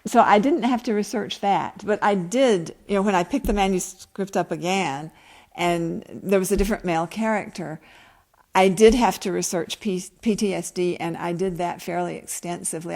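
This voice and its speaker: A woman novelist with a fairly low voice, speaking at a book event. She presents her own work to listeners in an author's unscripted, personal way. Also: vocal fry, a little mellow. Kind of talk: author reading aloud and answering questions.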